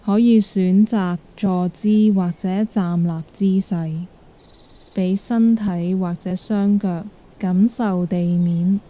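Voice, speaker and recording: A woman with a Hong Kong Cantonese accent guiding a meditation in a gentle, calm manner. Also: lowish voice. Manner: gentle, calm, unhurried instruction. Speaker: guided meditation instructor. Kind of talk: guided meditation narration.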